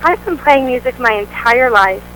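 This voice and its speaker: Woman guest, bright tone, upbeat and chatty, faint background hiss. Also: fairly high pitch, lively intonation, moderate pace, rough recording. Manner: upbeat, chatty, many fillers. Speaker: band vocalist, interview guest. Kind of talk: casual interview answers.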